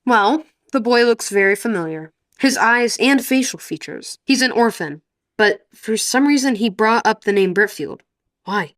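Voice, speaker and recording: A woman's voice: fairly high pitch, expressive, normal speed, dry recording, clean studio sound, close to an everyday voice. A woman voicing a nervous, secretive male royal staffer who speaks in a formal, defensive way in a radio play. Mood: tense.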